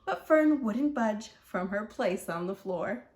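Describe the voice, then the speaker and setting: A woman's voice: medium pitch, expressive, slow, darkish tone, echoey room. A woman reading a rhyming children's story aloud as the host of a read-aloud.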